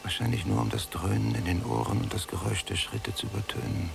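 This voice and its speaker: An actor playing a brooding, embittered prisoner in a German radio drama, with a gravelly voice and a sardonic, often hushed delivery. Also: male voice, fairly low pitch, quick pace. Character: brooding, embittered male prisoner, younger cellmate. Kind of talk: German radio drama acting, literary monologue.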